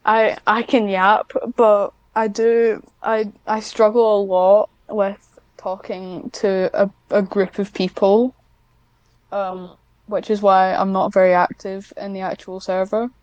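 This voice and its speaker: A woman's voice: mid-range voice, flat delivery, slow pace, clean tone, dry recording, highs cut off. A woman chatting casually as a podcast guest, talking in a hesitant, self-deprecating way. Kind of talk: casual one-on-one interview chat.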